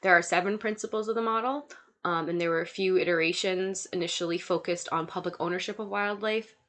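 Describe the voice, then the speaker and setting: A young woman delivers a recorded slide lecture in a fairly low voice. She is sick, so her tone is stuffy and nasal. She works through lists of points steadily, with small fillers between them. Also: fairly bright tone. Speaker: student lecturer.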